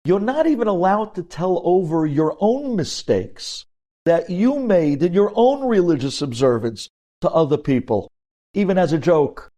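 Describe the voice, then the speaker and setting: Mellow-voiced man giving an emphatic religious lecture. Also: high voice, lively intonation, unhurried. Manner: emphatic, addresses listeners directly with rhetorical questions; sprinkles speech with Hebrew religious terms. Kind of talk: sermon-like lecture with storytelling and acted-out dialogue.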